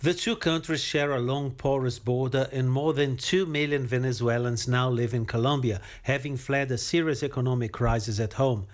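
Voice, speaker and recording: A man's voice: medium pitch, steady tone, normal speed, few pauses. Male reporter with a mid-range voice, reading a prepared analytical news dispatch. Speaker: news correspondent.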